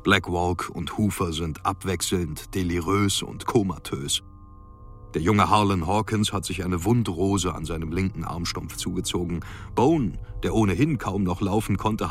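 An actor playing a polar expedition captain, dictating a grim, callous logbook in a measured, matter-of-fact way. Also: male voice, deep voice. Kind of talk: radio drama journal monologue.

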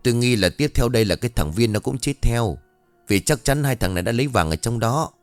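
A man voicing an older village fishmonger woman who gossips and scolds in brash, quick market talk. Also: mid-range voice, lively intonation, dry recording, faint music behind, lightly acted. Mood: gossiping.

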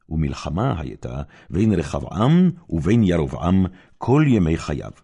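A man with an Israeli accent narrates scripture in a very low, animated voice. His delivery is formal and deliberate, set in short measured phrases. The tone is dark and mellow. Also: creaky, husky. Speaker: Bible narrator. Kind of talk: solemn scripted scripture reading.

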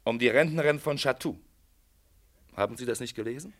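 An actor playing a shrewd, unhurried police inspector in a German radio play, probing in a courteous, matter-of-fact way. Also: male voice, medium pitch, fairly bright tone, lightly acted.

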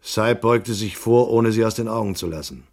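An actor plays a tough male private eye telling his own story in a radio crime play. His voice is fairly low. The delivery is terse, laconic and matter-of-fact, in classic hard-boiled style. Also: moderate pace, darkish tone, close to an everyday voice. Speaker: first-person narrator.

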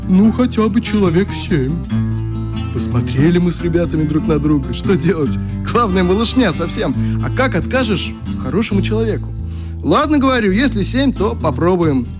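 Friendly club leader in a radio play, pretty high voice for a man, teasing storyteller. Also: normal speed, music in the background. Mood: amused, teasing. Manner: animated, teasing, mimics people he quotes. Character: easygoing male youth club leader, fond storyteller. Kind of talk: radio drama acting, storytelling in dialogue.

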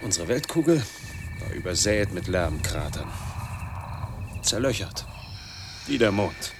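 A man's voice: low voice, big pitch swings, unhurried, exaggerated voice. An actor playing an embittered man in his forties who has fled from noise, delivering a brooding radio drama monologue in clipped, emphatic fragments. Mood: bitter, haunted. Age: middle-aged.

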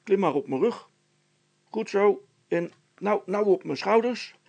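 Storybook working father, played by a male reader: wary, grumbly, colloquial, gravelly and husky. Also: sits high, animated, measured pace, darkish tone, voice pushed up, full character voice. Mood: gruff, irritated. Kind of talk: storybook character voice.

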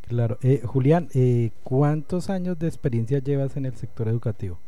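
Very dark, relaxed Colombian male host. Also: mid-range voice, moderate pace, no room sound. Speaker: interview host. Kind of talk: conversational interview.

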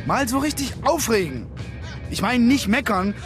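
A man acting in a German radio drama as a disgruntled working-class buddy with a blunt, halting, colloquial way of talking. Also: very high-pitched, big pitch swings. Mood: rebellious, earnest. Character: gruff, disgruntled working-class buddy, rebellious but concerned. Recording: music behind the voice.

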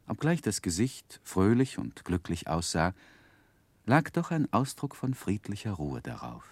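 Reflective storyteller in vintage radio drama; low-pitched, very melodic delivery, earnest and carefully phrased. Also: male voice, wildly swooping pitch, measured pace, a little mellow, husky, no room sound, heavily acted. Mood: reflective, tender. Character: earnest gentleman recounting his boyhood, tender and reflective. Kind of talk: literary first-person narration in 1960s German radio drama.

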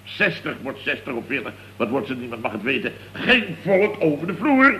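An actor playing a flustered, indignant middle-aged building boss, telling things in a long-winded, exasperated way in a comic radio serial. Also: male voice, mid-range voice, very animated, moderate pace, bright, forceful tone, poor audio. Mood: flustered indignation. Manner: long-winded, exasperated storytelling peppered with verbal tags. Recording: old radio recording with faint noise.

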